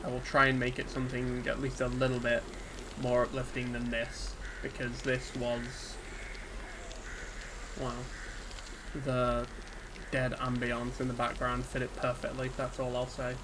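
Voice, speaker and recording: A man giving an unscripted, subdued and hesitant rant about a game, sounding dejected and pausing often on ums. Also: medium pitch. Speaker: gaming video creator. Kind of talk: unscripted solo rant to camera. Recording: steady background ambience hiss.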